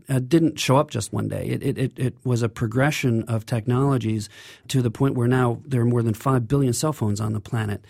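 A man's voice: mid-range voice, creaky, darkish tone, dry recording, studio quality. A man speaking as an engineer guest in an interview, explaining things in an earnest way.

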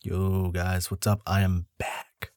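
A man's voice: low voice, completely flat delivery, slow, darkish tone, no room sound, studio quality. A man co-hosting a podcast in a laid-back, joking way, telling a story casually. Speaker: podcast co-host.

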